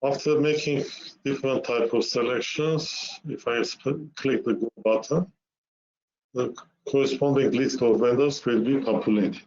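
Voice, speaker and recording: A man narrating a software demo webinar, explaining step by step in a halting way with repeated words. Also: mid-range voice, fairly even intonation. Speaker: software presenter. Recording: muffled lo-fi webinar audio.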